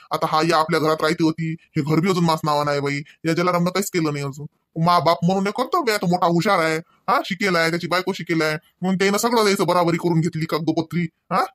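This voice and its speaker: A man's voice: high voice, a bit bright, dry recording, clean studio sound. A man acting a stern, pleading old village father in a comedy cartoon, lecturing insistently in a rural Varhadi Marathi accent with questioning tags. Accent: Varhadi Marathi, rural Vidarbha.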